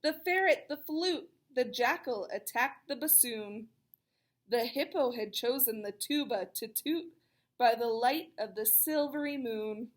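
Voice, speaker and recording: A woman reading a children's picture book aloud, expressive and chatty, with casual explanatory asides for young listeners. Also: on the high side, a little slow. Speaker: read-aloud storyteller.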